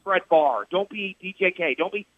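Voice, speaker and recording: A man's voice: sits high, normal speed. A man hosting sports radio talk, emphatic and repetitive, firing off rhetorical questions. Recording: dull radio studio sound, dry.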